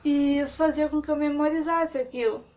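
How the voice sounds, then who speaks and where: A young woman talks straight to camera in a relaxed vlog, sharing her view. Her voice sits high, and she meanders with frequent fillers and self-corrections. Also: brisk. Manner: rambling with many fillers and restarts. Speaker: vlogger. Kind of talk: casual opinion monologue.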